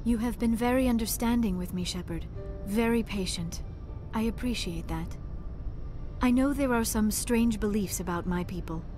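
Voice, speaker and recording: A woman's voice: mid-range voice, normal speed, warm tone, faint music behind, lightly acted. A woman playing a young female alien scholar in a video game, earnest and reserved, with formal, precise speech. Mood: earnest, gentle. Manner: formal, precise wording, avoids contractions. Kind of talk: video game dialogue acting.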